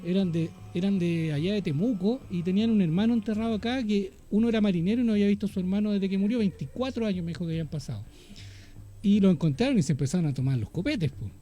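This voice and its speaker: Chilean man, radio host, high voice, mellow tone, lively storytelling. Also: fast, soft background music. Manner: lively anecdotal storyteller, laughs often. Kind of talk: casual radio chat.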